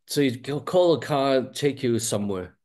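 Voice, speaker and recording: A man's voice: mid-range voice, lively intonation, normal speed, a little mellow. A man speaking as a guest on a video call, telling an easygoing, wry anecdote. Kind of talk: casual anecdote.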